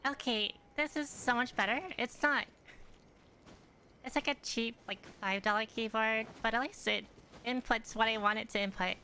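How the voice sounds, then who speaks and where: A woman's voice: on the high side, moderate pace, sharp tone, slight background noise. A woman giving casual gameplay commentary, thinking aloud in a hesitant way as she plays. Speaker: gamer, streamer.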